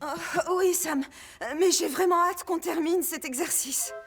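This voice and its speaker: An upbeat young firefighter. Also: female voice, extremely high pitch, measured pace. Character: cheerful young female firefighter. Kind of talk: cartoon dub acting. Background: music.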